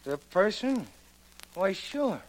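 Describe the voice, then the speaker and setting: A man's voice: high voice, big pitch swings, slow pace, exaggerated voice, poor audio. An actor playing an obliging, deferential male head waiter in a 1940s radio drama. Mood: deferential, eager.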